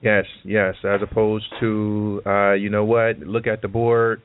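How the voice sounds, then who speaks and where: A middle-aged man hosting a conversational interview, agreeable and halting, often repeating his words. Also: on the low side, flat delivery, a crowd in the background. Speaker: podcast host, student. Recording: dry, phone-like narrow band.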